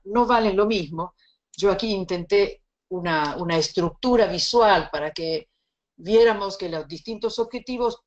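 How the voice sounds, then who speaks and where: A middle-aged woman from Italy with an Italian accent, giving a recorded lecture in a measured, reflective way with pauses and restarts. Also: medium pitch. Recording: slightly dull lo-fi sound.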